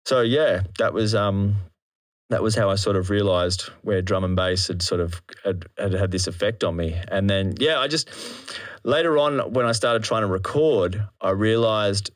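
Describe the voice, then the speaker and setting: Low-voiced Australian man, slangy storyteller. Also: moderate pace. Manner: animated retelling, slangy and sweary, acting out thoughts and sounds. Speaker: interview guest, drum and bass MC. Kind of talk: casual anecdotal chat.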